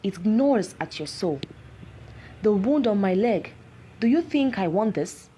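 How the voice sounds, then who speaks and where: Woman voicing an anguished, slow-spoken cursed village man. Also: mid-range voice, animated, mellow, a little hiss or hum, natural voice. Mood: anguished, pleading. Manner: grave, dramatic, pleading.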